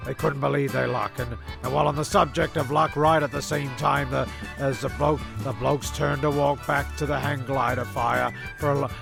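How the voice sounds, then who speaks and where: A man playing a bumbling, irritable storyteller in a comedy radio play, rambling along in a hesitant, deadpan way. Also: medium pitch, vocal fry. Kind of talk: rambling, sweary comic narration. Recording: music under the voice.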